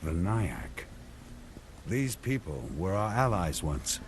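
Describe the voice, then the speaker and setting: A man's voice: low voice, very animated, slow, noisy background, full character voice. A man voicing a terse, stoic, determined knight commander in a fantasy video game. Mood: grim, resolute. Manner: terse, resolute delivery.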